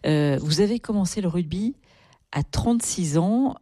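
A woman's voice: fairly low pitch, lively intonation, normal speed, warm tone, no echo, clean studio sound. A woman presenting a broadcast interview, putting questions to her guest in a conversational, composed way.

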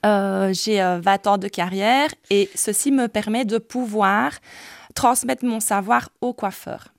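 A woman in her forties, a hairdresser, talking earnestly as a radio guest. Her mid-pitched voice has a gravelly edge and she often pauses to hesitate. The sound is close and dry. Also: no room sound. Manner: earnest, hesitant with many "euh". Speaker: hairdresser and salon director.

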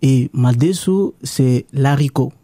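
A man's voice: medium pitch, takes it slowly, warm tone, no room sound. A man from DR Congo whose first language is Lingala, speaking French with a Congolese accent as a radio guest, hesitant with many fillers and restarts. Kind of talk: radio interview.

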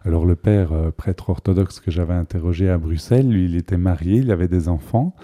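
Deep man interviewing, very dark mellow tone, questions wander and restart. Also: a little fast.

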